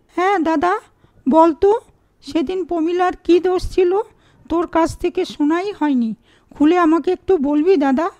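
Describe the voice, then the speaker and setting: A woman's voice: very high-pitched, a little slow, darkish tone, no room sound. A woman playing an inquisitive young sister in a cartoon story, talking in a familiar, teasing way. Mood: questioning.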